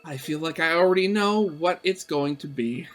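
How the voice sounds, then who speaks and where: A young man reading a visual novel aloud as a let's play host, expressive and breaking into casual asides. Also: fairly high pitch, moderate pace, soft background music.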